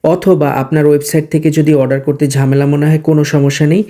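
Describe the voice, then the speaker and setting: A man's voice: on the high side, little pitch movement, quick pace, warm tone. A man, hosting a tech channel and casually demoing and selling an app, chatty and sprinkling in English words and filler sounds. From Bangladesh. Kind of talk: casual product demo and sales pitch.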